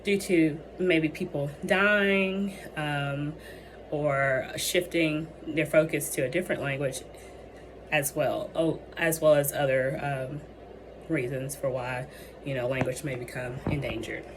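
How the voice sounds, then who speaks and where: A young woman gives a student presentation in a low, deep-set voice that is going hoarse. She hesitates often, filling pauses with ums and restarting her sentences. A steady hiss of background noise sits under the recording. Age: young adult. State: losing her voice. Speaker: student presenting a capstone project. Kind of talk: presentation with many fillers. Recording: noisy background ambience.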